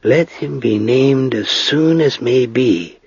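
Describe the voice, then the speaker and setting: Man playing a slow, husky, quiet wizard. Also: fairly low pitch, very animated, no room sound, highs cut off, heavily acted. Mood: grave, gentle. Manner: slow, gentle, certain, halting. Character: quiet, grave, wise middle-aged male wizard.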